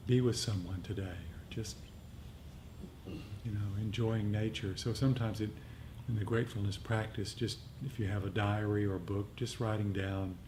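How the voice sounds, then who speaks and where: Guy with a lower voice musing to a meditation group, halting, noisy rumbly recording. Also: fairly even intonation. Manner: halting, repeating small phrases. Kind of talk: casual informal reflection.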